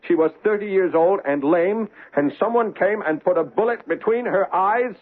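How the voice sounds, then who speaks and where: An actor playing a tormented, controlling fiancé in an intense, melodramatic 1970s radio drama style. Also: male voice, high voice, normal speed. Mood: fierce insistence. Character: George, anguished, controlling fiancé narrating his own torment. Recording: noisy, tinny vintage radio broadcast.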